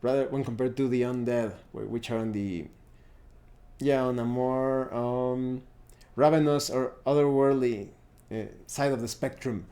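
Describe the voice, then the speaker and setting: A man's voice: medium pitch, steady tone, measured pace, warm tone. A man giving an unscripted explanation to viewers as a tabletop gaming host, thinking aloud and often restarting his phrases.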